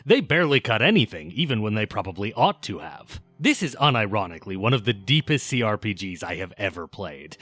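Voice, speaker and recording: A man's voice: fairly low pitch, fairly bright tone, dry recording. A man narrating a scripted video essay in an informal, opinionated way, with casual asides.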